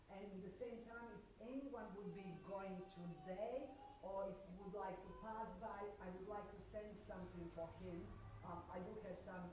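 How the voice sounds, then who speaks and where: A woman giving church announcements in halting English with many fillers, in a small church hall. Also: fairly low pitch. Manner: halting, many fillers, non-native phrasing. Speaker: personal ministries leader. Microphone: far from the mic. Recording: echoey hall, distant mic with background noise.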